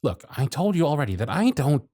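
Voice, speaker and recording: A man voicing a jaded, century-old male workshop supervisor who is gruff, weary, sardonic and blunt, in an ASMR roleplay with close miking. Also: fairly high pitch, very animated, a little fast, mellow, no room sound. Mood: bitter, exasperated. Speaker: voice actor in audio roleplay.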